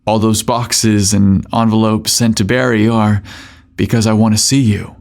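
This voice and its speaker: A man voicing a confident, tender office hunk in love in an audio romance story, seductive and deliberate. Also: fairly low pitch, level tone, creaky, darkish tone.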